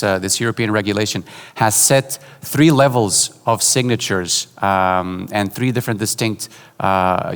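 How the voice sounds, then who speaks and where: A man from Portugal giving a conference keynote, relaxed and joking, with many fillers and restarts. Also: fairly low pitch, clean tone. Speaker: keynote speaker. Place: large conference hall. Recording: hall reverb.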